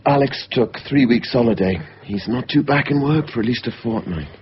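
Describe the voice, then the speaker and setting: An actor playing an obsessive, unstable man in a radio drama, speaking haltingly and intensely. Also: mid-range voice, lightly acted. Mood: matter-of-fact. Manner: halting, repeating words, intense. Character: obsessive, unstable man who confuses films with his own memories. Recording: dull recording with faint background noise.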